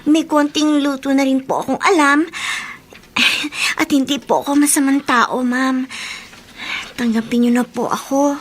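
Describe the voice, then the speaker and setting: A timid teenage country girl in a Filipino radio drama. Her voice is very high and she speaks haltingly, with careful politeness. There is faint noise behind her. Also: fairly even intonation. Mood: polite, pleading. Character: timid sixteen-year-old country girl seeking maid work.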